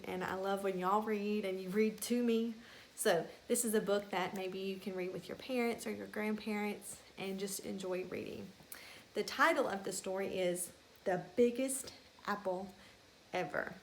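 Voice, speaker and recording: A woman's voice: mid-range voice, a little hiss or hum. A woman talking to young children like a teacher at a storybook read-aloud, using simple phrasing and questions to her listeners.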